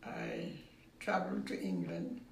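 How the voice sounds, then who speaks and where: A woman in her eighties with a Jamaican accent, recalling her past in an unhurried way that repeats phrases and trails off. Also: lowish voice, wildly swooping pitch, creaky. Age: 80s. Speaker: interviewee. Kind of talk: casual recollection. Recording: roomy recording with faint background noise.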